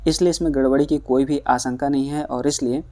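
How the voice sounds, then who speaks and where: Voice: mid-range voice, steady tone, brisk, dark tone. A news presenter giving explanatory commentary for online viewers, addressing them as friends.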